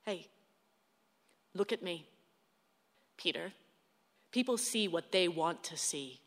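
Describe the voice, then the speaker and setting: An actress in a radio play playing a weary, sharp-tongued young wife and mother. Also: lowish voice, lively intonation, takes it slowly. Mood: tense, hurt. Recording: long hall reverb.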